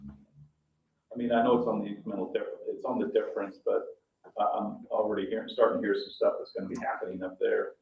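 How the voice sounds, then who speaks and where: A man talks quickly in a low, flat voice during an informal meeting discussion. The recording is muffled and echoey, with faint noise. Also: creaky, far from the mic. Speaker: meeting participant. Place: indoor public meeting room.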